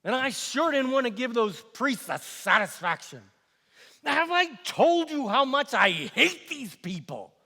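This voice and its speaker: Sardonic Roman governor for stage monologue, male actor: high-pitched, forceful, scornful and exasperated, theatrical delivery. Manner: animated, scornful, exasperated, swinging from quiet asides to outbursts. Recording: echoey hall with long reverb.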